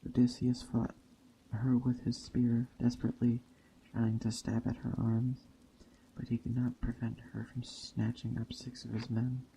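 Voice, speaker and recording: Flat, mellow man reading a myth aloud. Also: medium pitch, unhurried, creaky, a little hiss or hum, rough recording. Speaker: storyteller.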